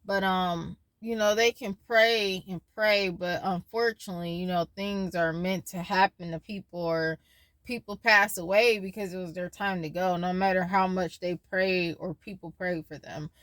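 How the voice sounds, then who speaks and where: A woman in her late twenties talks to camera in a loose, wandering vlog, circling back and forgetting what she meant to say. Her voice sits a little low, with a worried, faith-leaning tone. The sound is close and dry, with no room around it. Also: fairly even intonation, normal speed, fairly bright tone, no echo. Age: 20s. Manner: rambling, loses her train of thought; worried, faith-filled musing. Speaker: vlogger. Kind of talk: casual personal vlog.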